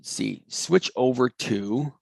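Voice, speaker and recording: A man's voice: medium pitch, slow pace, vocal fry, darkish tone, husky, dry recording. A man running a live online coding class, talking casually and enthusiastically with lots of fillers and restarts. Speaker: coding course instructor. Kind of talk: casual live class over video call.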